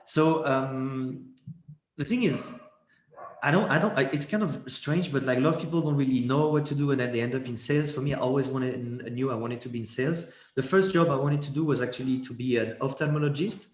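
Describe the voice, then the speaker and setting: A man's voice: mid-range voice, brisk. A young man, a guest in a casual interview, talking in a rambling way with many restarts and fillers. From Switzerland. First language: French. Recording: echoey room.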